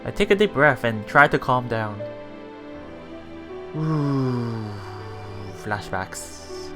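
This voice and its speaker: A man's voice: medium pitch, very slow. A man reading a visual novel aloud as a let's play host, stumbling and rereading lines as he goes. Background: faint music.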